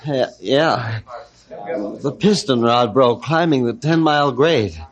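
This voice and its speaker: A man playing a dazed, regretful train boss, speaking wearily and haltingly in an old radio drama. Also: mid-range voice, animated. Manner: halting, weary. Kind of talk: old time radio adventure acting.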